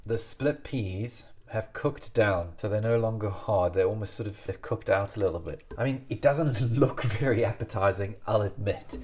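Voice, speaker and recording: A man's voice: lowish voice, a little mellow, slight background noise. A man, hosting a casual cooking video in a home kitchen with chatty, self-deprecating jokes. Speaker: cooking show host.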